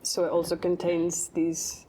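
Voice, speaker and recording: A woman from Norway, an artist, calmly answering interview questions about her work. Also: on the low side, a little slow, low-quality recording.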